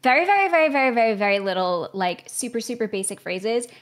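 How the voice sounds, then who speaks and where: A woman's voice: fairly high pitch, big pitch swings. A young adult woman in casual chat as a guest, rambling and filling her talk with "like". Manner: chatty and rambling, heavy use of "like", quick to laugh.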